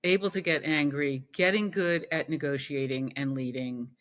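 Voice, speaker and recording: A woman being interviewed, speaking in a bright, forceful voice. She talks thoughtfully, pausing and restarting often. The audio is muffled and lo-fi. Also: low-pitched. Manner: thoughtful, halting with fillers and restarts. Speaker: podcast guest. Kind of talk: conversational interview.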